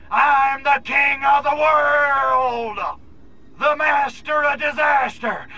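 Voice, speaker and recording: An actor voicing a cocky grey alien invader with a brash, wisecracking, crude manner in a video game cutscene. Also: male voice, very high-pitched, bright, forceful tone, heavily acted, low-quality recording. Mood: boastful. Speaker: protagonist.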